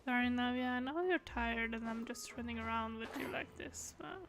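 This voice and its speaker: A woman streaming a video game, giving casual reactions and musing aloud in a soft-hearted way. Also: a little high, moderate pace, over music. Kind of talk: casual live reaction commentary.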